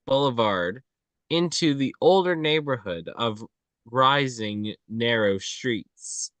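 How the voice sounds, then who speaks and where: A man reading screenplay action lines aloud, halting and breaking them into short phrases. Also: medium pitch, fairly bright tone, no echo. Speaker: table read participant.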